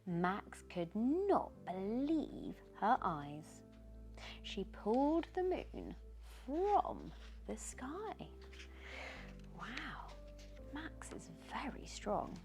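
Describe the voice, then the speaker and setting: A woman reads a children's bedtime story aloud in an expressive, gentle storytelling manner. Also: fairly high pitch, very slow, faint music behind. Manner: expressive, gentle storytelling with questions posed to the listener. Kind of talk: bedtime story read aloud with short asides.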